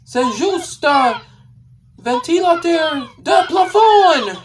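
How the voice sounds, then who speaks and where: Man doing a high, shouting meme character. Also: lively intonation, slight background noise, exaggerated voice. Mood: loud, excited. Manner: shouted, drawn-out exclamations. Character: loudly exclaiming young meme character calling to grandma.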